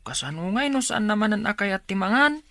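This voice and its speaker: A woman's voice: medium pitch, animated, brisk, a bit bright, dry recording, studio quality. A woman playing an anxious, dutiful young grandchild in a radio drama, with a questioning manner and a northern Philippine accent. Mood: puzzled, dutiful.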